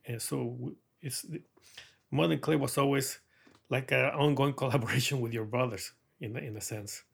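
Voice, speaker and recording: A middle-aged man from Mexico with a Mexican accent, an artist talking thoughtfully in a documentary interview, pausing often with fillers and soft laughs. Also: medium pitch, fairly even intonation, creaky, breathy edge.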